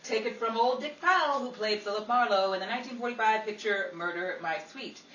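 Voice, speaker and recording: A woman's voice: fairly high pitch, brisk, a bit bright, lo-fi sound, natural voice. A woman playing a sassy hard-boiled 1940s detective who narrates a radio show in a theatrical, wisecracking way. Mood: dramatic narration. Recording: roomy, distant, noisy live room recording.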